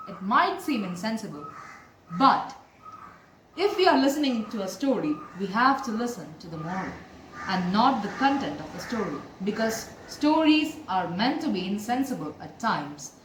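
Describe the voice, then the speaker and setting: A woman narrating a moral story as an amateur storyteller, in an expressive, deliberate way. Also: mid-range voice. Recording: roomy, distant mic, faint noise.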